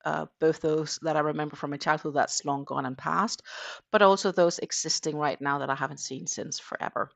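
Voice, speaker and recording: A woman's voice: deep voice, fairly even intonation, a little fast, gravelly. A Danish-born woman hosting a casual morning crochet livestream in English, chatting in a rambling way with lots of fillers. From Denmark.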